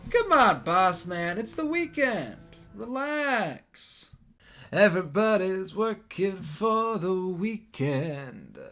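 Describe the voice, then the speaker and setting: An easygoing worker in an audio drama. He teases in a slow, laid-back way with lively pitch swings over music. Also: exaggerated voice. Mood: teasing. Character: easygoing, teasing male park worker.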